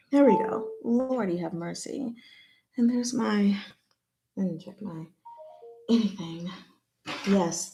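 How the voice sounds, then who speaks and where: A woman hosting a livestream in casual chat, with an animated, scattered, self-deprecating way of talking. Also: mid-range voice, background noise. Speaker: livestream host.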